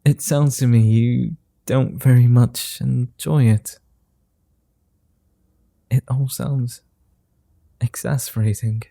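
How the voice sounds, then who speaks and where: A man voicing a gentle, flirtatious immortal vampire in an ASMR roleplay, speaking softly, slowly and teasingly. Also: medium pitch, steady tone, vocal fry, no echo, studio quality. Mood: tender. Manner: soft, slow, flirty and teasing.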